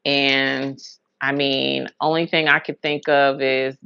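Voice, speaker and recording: A woman's voice: a bass voice, flat delivery, slow pace, creaky, sharp tone, clean tone, dry recording, lo-fi sound. An American woman chatting casually on a livestream, telling rambling personal stories in a colloquial way and repeating words for emphasis. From Huntsville, Alabama and Brooklyn, New York, USA. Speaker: livestream host, hairstylist.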